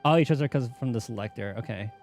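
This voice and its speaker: A man's voice: medium pitch, fast, gravelly, a little mellow, soft background music. A man chatting casually on a game livestream, rambling and thinking aloud as he goes. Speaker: game streamer. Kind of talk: casual livestream chat while gaming.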